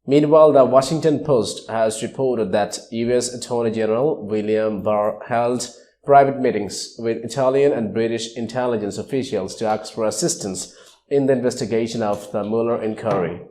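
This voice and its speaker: A man's voice: medium pitch, moderate pace, dark tone. A man reading scripted news narration as a news reader.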